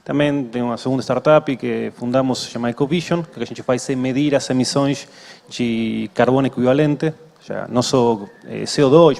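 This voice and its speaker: A man's voice: medium pitch, creaky, a little mellow. A man with an Argentine Spanish accent, a native Spanish speaker, introducing himself on a conference panel in a friendly, joking way with hesitant fillers. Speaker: startup CEO panelist. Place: conference hall. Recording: long hall reverb.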